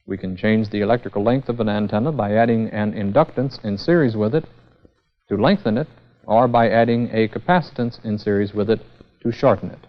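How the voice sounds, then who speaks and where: A man giving a formal, methodical scripted technical lecture as the instructor in a vintage educational film. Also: mid-range voice. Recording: dull, band-limited vintage 16mm film sound.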